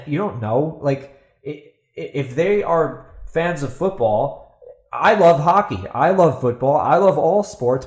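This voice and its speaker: A man's voice: fairly high pitch, darkish tone. A man giving an opinionated solo podcast monologue as host, speaking bluntly and emphatically, with some swearing. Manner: emphatic, blunt, swears, asks and answers own questions. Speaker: podcast host and fantasy author. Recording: roomy, echoey voice recording.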